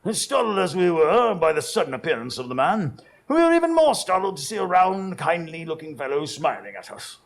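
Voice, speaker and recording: Radio drama narrator-sidekick: earnest man male actor, fairly high, raspy voice with gravel, dramatic storyteller. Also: very animated, brisk, few pauses, exaggerated voice. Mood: suspenseful narration.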